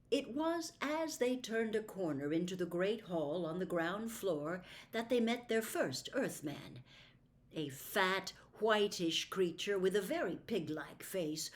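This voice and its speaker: Slow, measured woman storybook reader. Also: on the low side. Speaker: audiobook reader. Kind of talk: scripted storybook narration.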